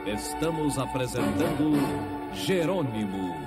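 A man's voice: medium pitch, animated, slow. A man announcing a 1970s TV adventure serial in dramatic scripted narration. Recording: vintage TV audio under loud music.

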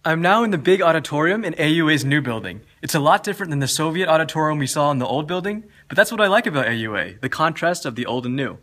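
A man's voice: fairly high pitch, animated, quick pace, hardly any pauses. A young man guiding a campus tour as a vlogger, in upbeat scripted narration, recorded on a phone held at arm's length. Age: young adult. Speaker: student vlogger, tour guide. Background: background music.

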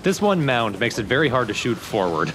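Animated man bantering over game music. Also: medium pitch, fairly quick. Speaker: let's play commentator. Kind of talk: casual game commentary banter. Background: video game music.

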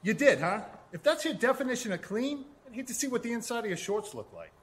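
A man's voice: extremely high pitch, big pitch swings, fast. A man playing a bullying, sarcastic boss who browbeats his worker in an audio drama. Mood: angry, scornful.

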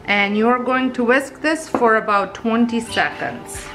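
Casting note: unhurried woman baking host, casual and homey, soft music underneath. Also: on the high side, rough recording. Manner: relaxed, chatty, with fillers and self-corrections. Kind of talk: step-by-step baking narration. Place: home kitchen.

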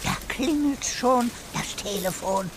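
A woman's voice: a little high, animated, slow pace, very noisy. A woman voicing a cheeky talking parrot who speaks in rhymes in a children's audio drama. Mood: chatty, secretive. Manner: speaks in sing-song rhymes. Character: cheeky rhyming talking parrot, a loyal spy. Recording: music behind the voice.

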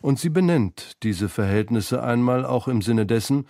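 A man's voice: medium pitch, animated, moderate pace, warm tone, dry recording, studio quality. A man reading scripted narration for a radio feature about literature. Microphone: close studio microphone.

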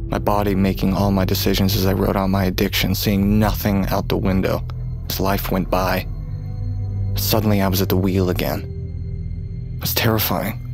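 A haunted young man in an audio drama. His voice sits fairly low. He is terse and guarded, with bitterness and brooding under the words. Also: little pitch movement, normal speed, creaky, over music. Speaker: narrator and lead character. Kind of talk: audio drama acting and first-person narration.

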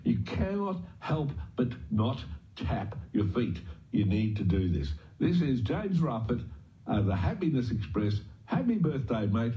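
A man's voice: medium pitch, sing-song, measured pace, mellow. A man presenting on radio, introducing music casually in a hesitant, rambling, unscripted way. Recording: dull lo-fi radio playback.

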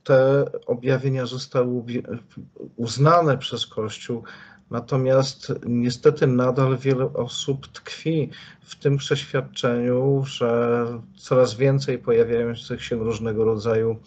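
A middle-aged man asks and comments as an interviewer, speaking very slowly and deliberately with many pauses. His voice comes over a webcam call with dull, lo-fi sound. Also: mid-range voice, flat delivery, darkish tone. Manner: slow, deliberate, with frequent fillers. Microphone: video call webcam. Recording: dull lo-fi video call audio.

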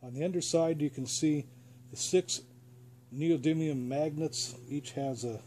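A man's voice: fairly high pitch, steady tone, unhurried, warm tone. A man with an American accent gives a casual, plain-spoken how-to demonstration as a hobbyist host, explaining step by step. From United States. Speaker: host, radio hobbyist demonstrating a home-built antenna. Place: outdoors beside a parked car. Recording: clear background noise, outdoor ambience.

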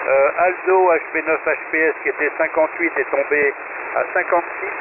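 A male amateur radio operator talks through his signal reports in a casual, halting way, stopping often to fill the gaps. His slightly high voice comes over a thin, tinny shortwave channel with clear hiss behind it. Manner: hesitant, many fillers and restarts.

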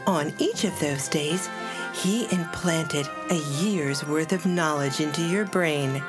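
A woman playing a calm, doting mother in an audio drama, soothing and deliberate in manner. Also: deep voice. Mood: patient explaining. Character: calm, doting mother of a teenage monster girl. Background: music.

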